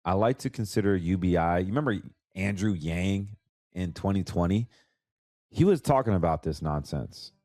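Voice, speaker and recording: A man's voice: lowish voice, mellow, no echo, studio quality. An American man with a stuffy, congested voice, hosting a conversational podcast as a financial advisor and repeating words for emphasis. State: congested from allergies. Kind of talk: solo podcast commentary, conversational.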